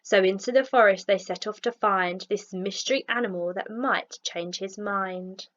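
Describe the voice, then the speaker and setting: A woman teacher narrating a rhyming children's storybook in an expressive, measured read-aloud style, on a lo-fi recording. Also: mid-range voice.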